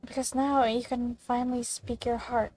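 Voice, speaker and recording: A woman's voice: a little high, little pitch movement, normal speed, a little mellow, soft background music, poor audio. A woman giving casual commentary as she reacts to a video game story. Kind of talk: casual let's-play commentary.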